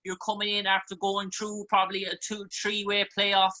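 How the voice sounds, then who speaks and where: A man's voice: high-pitched, completely flat delivery, normal speed, sharp tone, highs cut off. An Irish man hosting a sports panel discussion, putting long, rambling questions to his guests. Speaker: sports show host.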